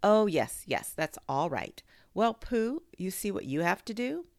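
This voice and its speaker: A woman voicing a bossy, confident male rabbit in a children's storybook, brisk and emphatic as he gives instructions. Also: mid-range voice, sing-song, dry recording, clean studio sound, voice pushed up.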